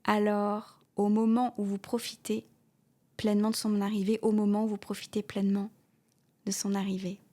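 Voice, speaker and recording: A woman guiding a yoga nidra meditation, speaking softly with pauses and repeated soothing phrases, often trailing off into a breathy whisper. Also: mid-range voice, flat delivery. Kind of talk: guided meditation with a read inspirational text.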